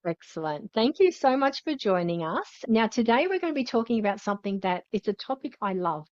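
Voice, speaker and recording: A woman's voice: a little high, very animated, fairly quick, a little mellow, no room sound, dull audio. A woman hosting an interview, introducing her guest in an enthusiastic way. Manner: enthusiastic, upbeat. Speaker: host.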